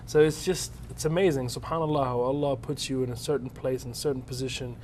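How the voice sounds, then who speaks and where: Animated storytelling man, faint low rumble. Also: quick pace. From United States. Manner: animated storyteller, acts out quoted voices. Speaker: stand-up comedian. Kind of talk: storytelling interview answers.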